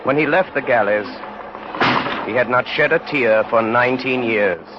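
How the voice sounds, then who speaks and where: An actor as the grave narrator of a tragic tale, solemn and measured in a dramatic, rhetorical radio style. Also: male voice, medium pitch, lightly acted. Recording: noisy, dull old radio recording.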